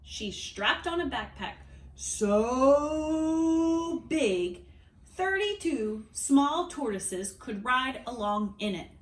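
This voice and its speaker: A woman reading a children's storybook aloud as a read-aloud host, expressive and deliberate, with dramatic pauses and emphasis. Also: high voice. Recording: roomy echo, distant microphone.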